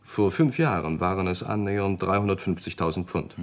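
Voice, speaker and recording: A discreet solicitor in a radio crime play. The voice is very deep. He is formal and obliging, setting out each detail precisely and matter-of-factly. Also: brisk. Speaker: radio drama actor. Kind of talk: German radio crime drama acting.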